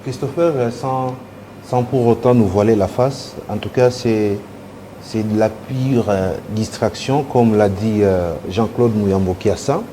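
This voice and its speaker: A man's voice: lowish voice, measured pace, dark tone. A man with a Congolese accent giving critical political commentary as a studio panelist. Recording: faint noise on studio recording.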